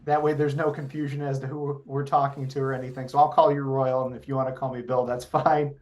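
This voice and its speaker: A man's voice: medium pitch, level tone, fast talker, a little mellow, dull audio. A man hosting an interview, asking questions in a friendly, hesitant way with lots of fillers. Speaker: interviewer, channel host.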